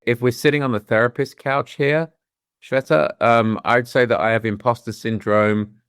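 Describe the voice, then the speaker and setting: A man's voice: mid-range voice, steady tone, normal speed, fairly bright tone, clean tone, no room sound, clean studio sound. A middle-aged man with a British accent who grew up in London, speaking as an interview guest in a candid, reflective way and often restarting his sentences. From London, England. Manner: thoughtful, with frequent restarts and "you know"s.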